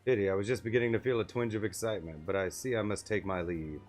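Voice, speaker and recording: A man's voice: fairly low pitch, fairly even intonation, quick pace, darkish tone, faint music behind, natural voice. A man voicing a haughty, amused mercenary swordsman from a video game, pompous and sneering. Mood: amused disdain.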